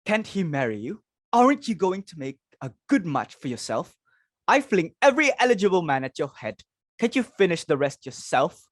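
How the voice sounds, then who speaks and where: A woman's voice: lowish voice, very animated, normal speed, no room sound, studio quality. A woman playing a fussy, social-climbing society hostess and mother, exclaiming, fretting and giving orders in a dramatic reading. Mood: exasperated, gushing. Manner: exclamatory, fretful, commanding.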